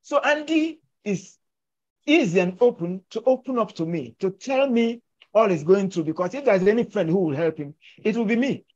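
An older man speaks in an emphatic, persuasive way, driving home each point. His voice sits high for a man. It comes through a muffled, dull-sounding video call. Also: lively intonation, moderate pace, a little mellow. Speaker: filmmaker and actor discussing his film. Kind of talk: conversational storytelling. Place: indoors at home, virtual backdrop.

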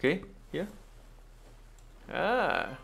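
A man giving casual game walkthrough commentary, thinking aloud in halting, non-native English. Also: high-pitched, sing-song, vocal fry, a bit bright, a little hiss or hum. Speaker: gaming video host.

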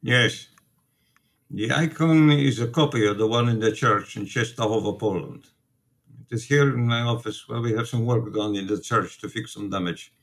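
An actor playing a Polish immigrant priest in a radio play, guarded and reproachful, with measured, formal phrasing. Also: male voice, lowish voice, natural voice. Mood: explaining. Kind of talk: radio drama acting.

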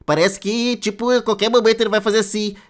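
A man's voice: high voice, little pitch movement, quick pace, natural voice. A man with a Brazilian accent voicing a chatty, playful cartoon sponge who hosts reaction videos with exaggerated commentary. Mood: excited, playful. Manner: playful, exaggerated, addresses viewers as little friends. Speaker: host of a reaction channel. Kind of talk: casual reaction commentary for kids.

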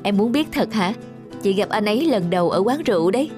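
A woman voicing a gentle, lovelorn young office worker in an animated drama, earnest and soft-hearted. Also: medium pitch, fast, over music, lightly acted. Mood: open, unsure.

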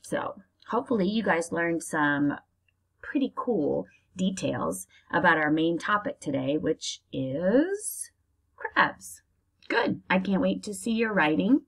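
Casting: American woman, primary teacher doing a children's read-aloud, unhurried, with swooping intonation and some fry. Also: on the low side. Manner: slow, deliberate, expressive reading for young children. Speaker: elementary school teacher reading aloud to pupils.

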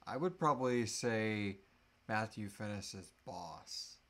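A man's voice: fairly low pitch, expressive, takes it slowly, a bit bright. A man in his thirties chatting casually and informally as host of a live Q&A, with a joking manner. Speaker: host of a live Q&A, audio drama creator. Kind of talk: casual chat, answering audience questions. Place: small room at home, indoors, seated.

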